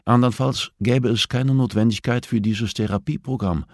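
A man's voice: mid-range voice, fairly even intonation, normal speed, a little mellow, no room sound, lightly acted. A man voicing a cool, shrewd, condescending male prisoner with a dry, understated delivery, as audiobook dialogue. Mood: cool, pointed.

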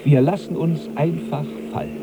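An actor playing a brooding, restless and weary husband in a radio drama, with an understated manner. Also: male voice, on the high side, fairly even intonation, normal speed, heavy background noise, natural voice. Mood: dreamy.

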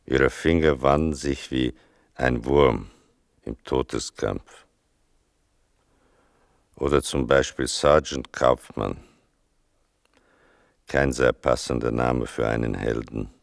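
A man playing a grave war veteran narrating his memories in a radio drama, deliberate and measured, with a gravelly voice. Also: rumbling low, no room sound, studio quality, exaggerated voice. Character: grave male war veteran recalling his mother and wartime.

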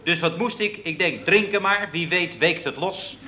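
A man's voice: high voice, lively intonation, normal speed, a crowd in the background. An actor playing an obsequious, bumbling employee who keeps deferring to his boss and stammers, in 1960s radio comedy style. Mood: deferential. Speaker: employee of the contractor. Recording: roomy old radio recording with hiss.

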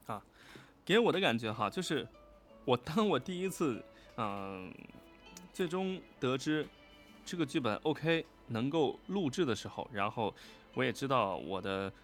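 Man, voice actor guest, mid-pitched, casual unscripted talk, expressive and emphatic, music in the background. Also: measured pace. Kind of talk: casual, unscripted interview chat.

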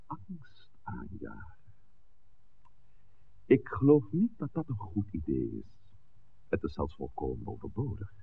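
An actor playing an authoritative village priest in a 1960s radio drama, speaking in a measured, formal, admonishing way. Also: male voice, sits low, wildly swooping pitch, gravelly, husky, exaggerated voice. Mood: stern. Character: authoritative village priest, composed, stern peacemaker. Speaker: pastor. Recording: dull, noisy 1960s radio recording.

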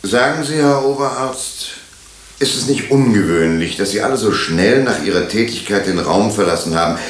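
An actor playing a courteous, methodical police inspector who questions suspects formally in a radio drama. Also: male voice, lowish voice, animated, natural voice. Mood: probing. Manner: formal, probing, deliberate. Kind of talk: German radio drama acting. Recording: roomy, echoing radio drama studio.

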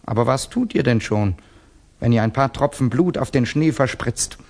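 Radio drama casting: young Russian student, mid-range voice, brooding loner, bitter and proud, rhetorical intensity. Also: male voice, medium pitch, lively intonation, moderate pace, darkish tone. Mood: impassioned, brooding. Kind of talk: 1960s German radio drama acting.

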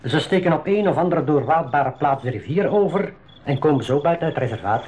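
A man acting a knowledgeable, calm reserve guide in a 1980s school radio drama, explaining things in a measured, articulate way. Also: on the high side, close to an everyday voice. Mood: earnest, informative.

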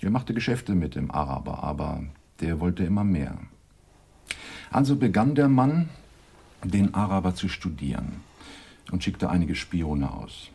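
A sly underworld informant, with a deep and mellow voice. He spins cryptic riddles deliberately, as in 1980s radio drama. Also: very animated, vocal fry, breathy edge, heavily acted. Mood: sly, mysterious. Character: sly criminal informant telling veiled riddles.

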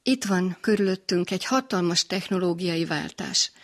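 Lowish-voiced woman explaining in discussion.

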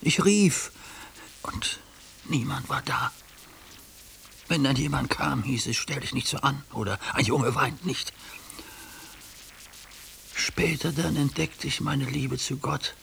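An actor in a German radio play playing an anguished ageing judge who speaks for his neglected heart in a halting, hushed confession. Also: medium pitch, creaky, heavily acted. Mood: anguished, pleading. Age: older. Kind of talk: German radio play acting, intimate monologue. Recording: hissy radio play recording.

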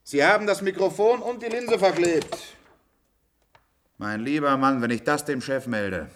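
A man's voice: on the high side, measured pace, fairly bright tone. Blunt, chummy handyman. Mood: scolding. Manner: colloquial, blunt. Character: chummy, nosy institute handyman, matter-of-fact working man. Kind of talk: German science-fiction radio drama acting.

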